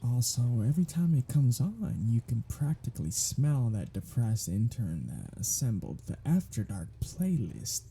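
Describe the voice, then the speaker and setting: A performer voicing a cocky, sardonic, teasing vampire in an ASMR roleplay, with a breathy, husky voice and a drawling, sarcastic, playful way of talking. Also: medium pitch, mellow, exaggerated voice. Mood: wry, sardonic. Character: cocky, sardonic, teasing, foul-mouthed vampire riding shotgun. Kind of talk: ASMR roleplay audio drama, one-sided conversation.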